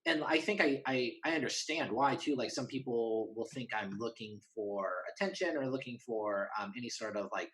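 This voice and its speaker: A man's voice: mid-range voice, normal speed, hardly any pauses, fairly bright tone, low-quality recording. A man speaking as an interview guest in a casual, candid, earnest way, often restarting and rephrasing his sentences.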